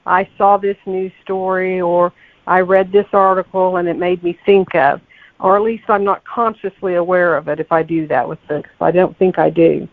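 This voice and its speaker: Female interview guest on a thin phone-quality line; gravelly edge, conversational, thinks aloud as she goes. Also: on the low side, fairly even intonation, moderate pace, no echo. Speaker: podcast guest, novelist. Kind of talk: casual interview chat. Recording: tinny, phone-like, narrow-band line.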